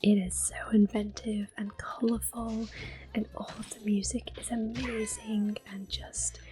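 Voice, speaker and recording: Female ASMR game host: soft, breathy whisper, unhurried delivery, medium pitch, light music underneath. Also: level tone, low-quality recording. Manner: gentle, slow, unhurried. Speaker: gameplay video host.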